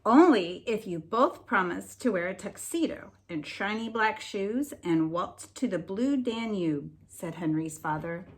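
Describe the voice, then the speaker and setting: Slow, lively-pitched woman teacher reading aloud. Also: slightly rough. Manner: deliberate enunciation with expressive storybook emphasis. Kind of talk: slow expressive read-aloud lesson for children.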